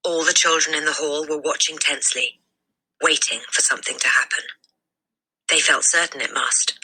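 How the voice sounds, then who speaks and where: A woman reading a children's story aloud as narrator, in an expressive storytelling style. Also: sits low, normal speed, breathy edge, rough recording. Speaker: storybook reader.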